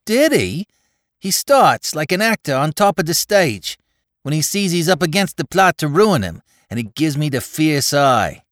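A man voicing a cheeky, excitable young Bowery crook with a New York accent, talking in rapid slang. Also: fairly high pitch, no room sound, clean studio sound, voice pushed up. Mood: excited.

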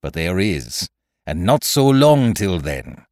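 A man voicing a cunning, domineering pirate sea-cook in an audiobook, with rough sailor dialect and a forceful, taunting manner. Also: sits low, big pitch swings, takes it slowly, dry recording, clean studio sound, full character voice. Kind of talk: audiobook character voice.

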